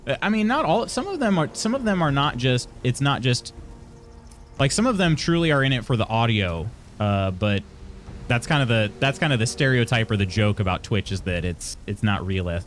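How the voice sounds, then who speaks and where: A young adult man streaming live, reading out chat messages and answering them in a halting, chatty way with frequent restarts. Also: medium pitch, expressive, faint music behind.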